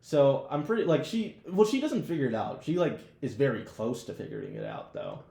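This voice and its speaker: A young American man with a fairly ordinary mid-pitched voice talks in an echoey room. He is a podcast co-host, chatting in a loose, slangy, jokey way. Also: wildly swooping pitch, a little fast, creaky, mellow. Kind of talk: casual podcast chat. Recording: roomy echo.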